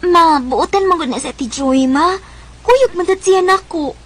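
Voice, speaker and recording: A woman's voice: very high voice, a little slow. A woman playing a dutiful, pleading twelve-year-old girl with a Cebuano Bisaya accent in a radio drama. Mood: tender, pleading.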